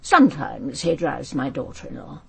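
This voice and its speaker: A woman's voice: a bass voice, very animated, normal speed, vocal fry, darkish tone, husky, full character voice. An actress playing a proud, composed elderly aristocratic mother in a radio drama, with an upper-class English accent and a formal, precise, imperious way of speaking. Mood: curt, haughty.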